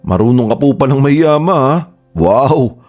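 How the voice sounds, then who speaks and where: A man's voice: medium pitch, lively intonation, moderate pace, darkish tone, close to an everyday voice. An actor playing a hurt young adult son who confronts his mother in a Tagalog radio drama, emotional and pleading. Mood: accusing. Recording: faint background music.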